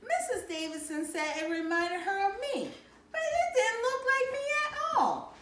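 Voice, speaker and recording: A woman's voice: very high voice, big pitch swings, unhurried, fairly bright tone, echoey room, slight background noise, voice pushed up, heavily acted. A woman voicing a neat little girl who protests in a plaintive, doubtful way in a storybook. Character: little girl Joey, neat, doubtful, stubborn about an angel.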